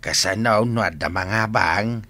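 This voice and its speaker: A man's voice: lowish voice, a little slow, pushed and bright. An actor with an Ilocano accent playing a persuasive, easygoing man who teases and insists in a radio drama.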